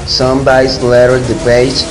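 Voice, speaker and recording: A young man, a native Spanish speaker, haltingly reading out a scripted storybook narration. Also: mid-range voice, monotone, low-quality recording. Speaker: narrator of a school play.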